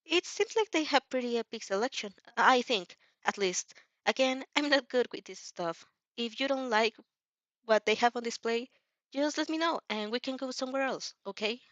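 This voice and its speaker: A woman's voice: fairly high pitch, moderate pace, fairly bright tone, no echo, voice pushed up, natural voice. A woman voicing a shy, kindly teenage boy in a story, hesitant and apologetic. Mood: nervous, helpful. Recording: dry, slightly dull lo-fi recording.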